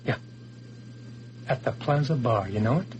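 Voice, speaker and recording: A man acts out a vengeful radio-play gunman with a gun in his hand, speaking cold and clipped in an even, middle-pitched voice. A faint hum sits under the old recording. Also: moderate pace, muffled recording, lightly acted. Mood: menacing. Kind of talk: 1980s radio drama acting.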